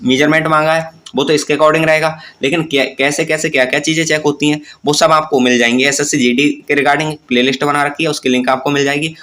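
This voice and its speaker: Higher-voiced man explaining. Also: a bit bright. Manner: plain step-by-step explaining with English terms mixed in. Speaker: presenter of exam-preparation tutorials. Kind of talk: informal explanatory lesson to viewers.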